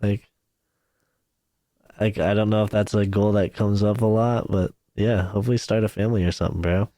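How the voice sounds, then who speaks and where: A man's voice: fairly low pitch, little pitch movement, fairly quick, dark tone, no echo. A man in his twenties, a YouTuber chatting casually on a podcast, laid-back and saying "like", "dude" and "bro" often. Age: 20s.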